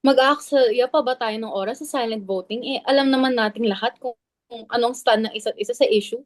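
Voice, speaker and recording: A woman's voice: fairly high pitch, brisk, no room sound, studio quality, natural voice. A woman with a Filipino accent playing an anxious, defensive club member in a dramatic reading. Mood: worried, insistent. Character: anxious, defensive clubwoman guarding the club's image.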